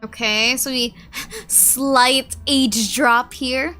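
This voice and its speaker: A woman streaming a game with casual, playful commentary, thinking out loud. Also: sits high, extremely slow, soft background music. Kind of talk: casual live gameplay commentary.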